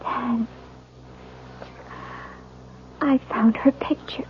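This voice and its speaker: Distraught young bride played by a woman, high voice, intense suspicion, old-time radio thriller, muffled sound. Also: steady tone, unhurried, slight background noise, natural voice. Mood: accusing, agitated. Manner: intense, theatrical delivery. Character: distraught young newlywed wife, suspicious of her husband. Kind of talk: 1970s radio drama acting. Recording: muffled vintage radio recording.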